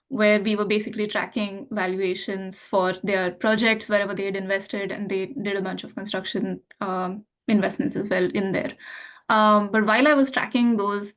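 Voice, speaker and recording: A young adult woman from India, a finance professional, speaking as a podcast guest in an earnest, reflective way with frequent fillers. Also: medium pitch. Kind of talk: casual interview chat.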